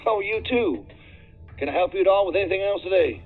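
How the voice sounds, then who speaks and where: Chatty corporate contact: polite man, high-pitched, tinny phone-line sound. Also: lively intonation, a little fast, soft background music. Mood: courteous, chatty. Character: courteous, talkative male corporate research contact. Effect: phone or intercom filter. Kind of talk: naturalistic audio drama acting.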